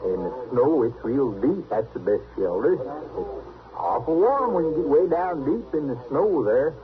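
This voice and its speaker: An actor playing a young, plain-spoken backwoods loner with a rural American drawl in an old-time western radio drama. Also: male voice, medium pitch, expressive, normal speed, a little hiss or hum, close to an everyday voice. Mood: eager storytelling. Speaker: trapper. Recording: muffled vintage radio recording.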